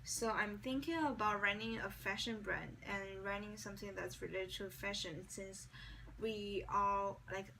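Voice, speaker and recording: A woman's voice: mid-range voice, steady tone, a little slow, creaky, sharp tone. A young woman from China with a Chinese accent, a non-native English speaker answering mock job interview questions with many restarts and fillers. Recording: distant mic, faint background noise.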